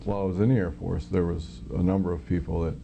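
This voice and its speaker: A middle-aged American man giving personal testimony in an interview, plain-spoken and a little halting as he recollects. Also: sits low, flat delivery, vocal fry, music in the background. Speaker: former military air traffic controller, witness.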